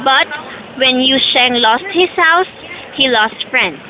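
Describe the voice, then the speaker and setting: A woman's voice: high-pitched, slow, phone-quality sound, slight background noise. A young woman reading scripted storybook narration for an amateur audio drama. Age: young adult.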